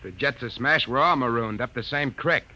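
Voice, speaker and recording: A man's voice: mid-range voice, moderate pace. An actor playing a hot-headed crewman defending himself in a 1950s radio drama. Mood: defensive. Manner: defensive, forceful. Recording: faintly noisy 1950s radio recording.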